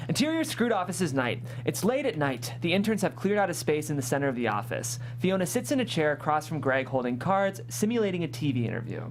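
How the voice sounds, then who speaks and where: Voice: medium pitch, very animated, fairly quick, hardly any pauses. A person narrating stage directions in a scripted read at a table read. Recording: hum and background noise.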